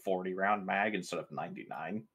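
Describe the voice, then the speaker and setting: A man's voice: low voice, a little fast, gravelly, fairly bright tone, husky. A man chatting casually as a player in a tabletop roleplaying game. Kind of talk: casual gaming chat.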